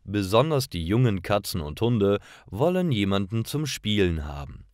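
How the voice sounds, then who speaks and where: A man reading scripted stories for language learners, phrasing each short sentence deliberately and enunciating clearly. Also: fairly low pitch, sing-song, darkish tone, no echo, studio quality. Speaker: narrator of German learning stories.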